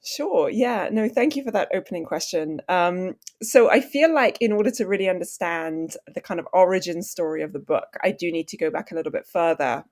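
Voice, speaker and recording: A woman's voice: lowish voice, lively intonation, fairly quick, few pauses, darkish tone. A woman in her thirties, raised in Switzerland, speaking as an author and journalist guest in a podcast interview, articulate and self-deprecating. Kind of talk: podcast interview, conversational storytelling.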